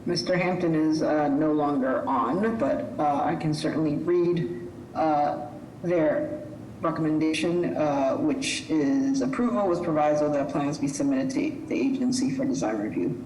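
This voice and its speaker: A woman chairing a public zoning hearing, brisk and businesslike. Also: deep voice, mellow, slight background noise. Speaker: board chair. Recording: echoey call audio.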